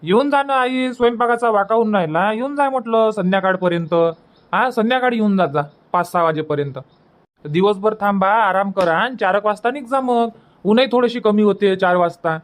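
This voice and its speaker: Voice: mid-range voice, moderate pace, close to an everyday voice. An actor voicing a put-upon young village son in a cartoon comedy, with a Varhadi Marathi accent and a whining, self-justifying manner. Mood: pleading. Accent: Varhadi Marathi from Vidarbha.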